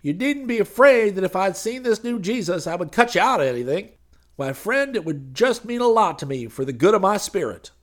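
A man voicing a pushy, folksy con-man preacher in an audiobook, impassioned and a little hoarse. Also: sits high, animated, fairly quick, pitched above the performer's own voice. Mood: wheedling. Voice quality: hoarse, breathless.

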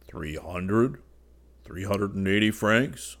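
Guy doing a chubby whiny glutton in an audiobook, low voice, hesitant, moany, kinda pathetic. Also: lively intonation, takes it slowly, a bit bright, exaggerated voice. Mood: sheepish.

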